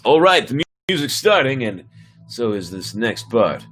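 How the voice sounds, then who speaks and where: A man's voice: mid-range voice, very animated, normal speed, a bit bright. A man hosting a story-time livestream, chatting casually between dramatic readings. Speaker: host and story reader. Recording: music behind the voice.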